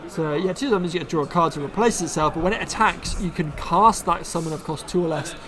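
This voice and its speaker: Man from Bristol, upbeat stream commentator, a little high-pitched, busy crowd behind. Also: fast. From Bristol, England. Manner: upbeat, reads card text aloud.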